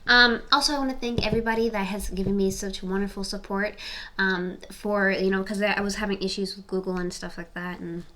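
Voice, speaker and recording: A woman's voice: medium pitch, steady tone, a little fast, pushed and bright. A woman in her twenties doing a casual, rambling tarot reading to camera, often repeating her questions. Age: 20s. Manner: chatty, rambling, repeats questions while shuffling. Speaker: tarot reader. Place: home, seated in front of camera.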